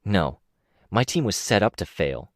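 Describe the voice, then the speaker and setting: A man's voice: lowish voice, very animated, a little slow, no room sound, clean studio sound. A man voicing a hard, threatening young woman in an audiobook. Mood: bitter. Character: cold menacing young woman, former killer.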